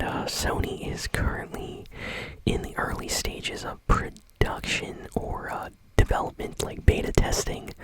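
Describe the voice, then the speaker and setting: A woman hosting gaming news in an ASMR style, talking softly, informally and without hurry. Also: low-quality recording. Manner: soft, unhurried, informal. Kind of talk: whispered ASMR news commentary.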